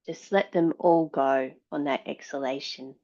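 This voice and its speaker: Woman teaching yoga, really slow n soothing, lowish voice, sound is kinda dull and muffled. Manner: calm, measured, soothing. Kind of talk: guided yoga and meditation instruction.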